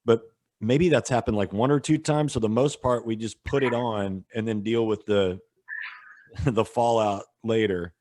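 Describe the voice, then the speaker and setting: A man's voice: fairly low pitch, steady tone, measured pace, a little mellow, no room sound. A male radio co-host, chatting in an easygoing, joking way. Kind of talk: casual radio chat.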